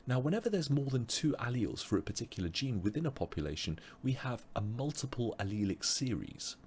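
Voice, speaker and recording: A man teaching an online biology revision webinar, explaining step by step with frequent pauses, his voice a little strained as he loses it. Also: medium pitch, big pitch swings, darkish tone, a little hiss or hum. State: losing his voice. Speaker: biology tutor.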